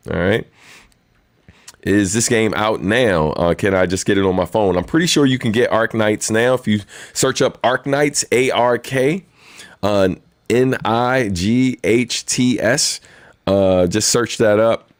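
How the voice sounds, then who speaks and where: A man with a very deep voice hosting a weekly live stream, chatting casually in a laid-back, rambling way with lots of fillers. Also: a little mellow, no echo, studio quality. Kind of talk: casual livestream chat and Q&A.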